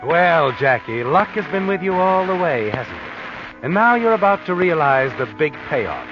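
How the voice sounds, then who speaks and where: A taunting, omniscient narrator of a vintage radio mystery. His voice sits fairly high and moves dramatically up and down. Music plays clearly behind him on a dull, aged recording. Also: very animated, normal speed. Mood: mocking, ominous. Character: eerie, knowing, sardonic omniscient narrator of a crime tale. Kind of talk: 1940s radio mystery narration in second person.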